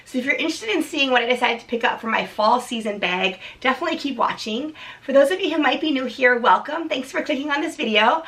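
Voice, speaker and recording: A woman in her thirties, hoarse from a cold, chatting casually through an unboxing vlog with frequent "um"s. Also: high voice, bright, forceful tone, distant mic. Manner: chatty, many restarts and "um"s. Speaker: vlogger.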